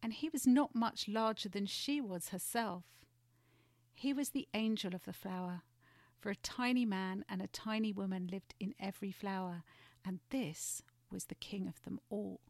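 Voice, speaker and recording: A woman narrating a storybook expressively and gently, with chatty asides. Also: medium pitch, normal speed, dry recording, clean studio sound. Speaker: guest storyteller.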